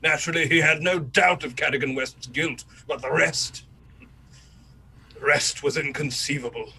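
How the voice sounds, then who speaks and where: A man's voice: a little high, moderate pace, bright, forceful tone, heavily acted, poor audio. A man acting a grieving colonel of fifty in a radio drama, courteous but curt, with stiff formality. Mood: stiff, dismissive. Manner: stiff, formal, clipped politeness. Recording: clear background noise.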